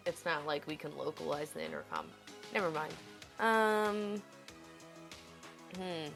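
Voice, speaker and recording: A woman's voice: low voice, animated, unhurried, gravelly, fairly bright tone, faint music behind. A woman playing a tabletop game in casual, informal chat, often thinking aloud.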